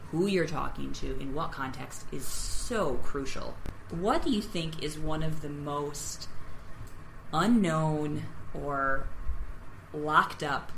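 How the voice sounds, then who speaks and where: A woman's voice: deep voice, vocal fry, a bit bright. A woman hosts a conversational interview from a reverberant room with a little background noise. She speaks emphatically, stacking short phrases to make each point. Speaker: interview host.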